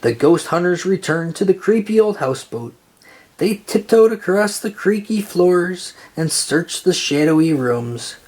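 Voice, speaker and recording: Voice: medium pitch, lively intonation, unhurried, a little mellow. A storyteller reading a children's picture book aloud in narration style. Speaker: storybook reader.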